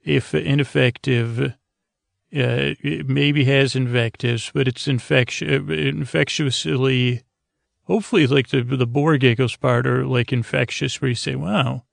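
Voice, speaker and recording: A man hosting a bedtime podcast in a rambling, halting, goofy monologue, repeating and stammering as he drifts along, with a creaky, gravelly voice. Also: mid-range voice, fairly even intonation, no room sound, studio quality. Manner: goofy, oddball. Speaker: podcast host.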